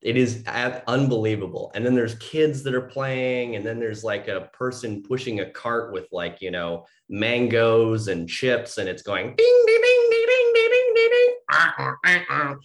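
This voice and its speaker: A man's voice: medium pitch, very animated, unhurried. A young man, a composer, chatting casually in an interview from his home office on a home mic setup, talking in a loose, rambling way full of "like" and "you know". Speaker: composer guest. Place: home office between two windows. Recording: echoey home room.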